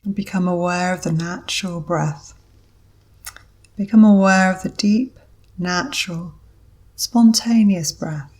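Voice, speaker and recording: A woman with a fairly low voice guides a meditation at a very slow pace. She speaks as a yoga instructor, in short, measured phrases separated by pauses. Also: darkish tone.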